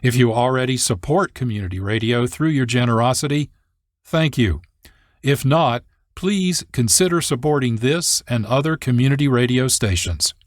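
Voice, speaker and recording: A man's voice: medium pitch, normal speed, dry recording, clean studio sound. An American man reading scripted narration as the host of a radio program.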